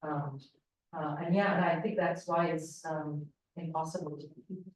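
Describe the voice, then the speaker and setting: A woman with a Palestinian accent answering as a panel speaker, thoughtful and measured, with pauses and fillers. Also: sits low, steady tone, a little mellow, slight background noise. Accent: Palestinian Arabic, Syrian-leaning. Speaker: panel speaker, political analyst. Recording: roomy hall, voice from far off.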